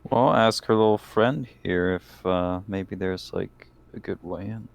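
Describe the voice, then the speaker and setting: A man's voice: sits low, measured pace, gravelly. A man playing a tabletop roleplaying game, talking casually and bluntly with plenty of swearing. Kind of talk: casual in-character table chat.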